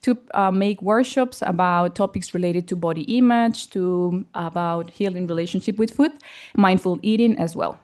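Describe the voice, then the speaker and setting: Hesitant woman pitching a startup. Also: moderate pace, a little mellow. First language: Spanish. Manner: hesitant, searching for words. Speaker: startup presenter. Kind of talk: pitch presentation. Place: event hall.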